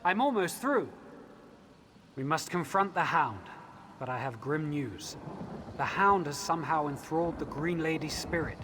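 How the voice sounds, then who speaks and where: An actor playing an earnest elf leader in a video game, giving measured, solemn instructions with urgency. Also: male voice, a little high, lively intonation, background noise. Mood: excited, urgent. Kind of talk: video game quest dialogue.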